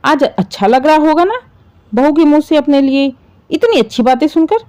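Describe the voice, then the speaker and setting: A woman's voice: high-pitched, expressive, moderate pace, dark tone, pitched above the performer's own voice. A woman voicing a stern older father-in-law, a firm and reproachful patriarch in a family drama story.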